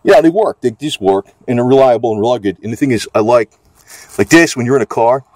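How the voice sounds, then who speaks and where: A man's voice: medium pitch, lively intonation, brisk, background noise. A man giving a casual, off-the-cuff product demonstration, talking in a rambling, hesitant, folksy way. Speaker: gadget reviewer.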